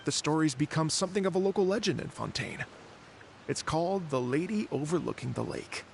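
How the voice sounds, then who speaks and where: Gravelly-edged, earnest wandering storyteller. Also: male voice, on the high side, expressive, a little fast, a little hiss or hum. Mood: storytelling, earnest. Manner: theatrical, polite storytelling. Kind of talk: video game voice acting.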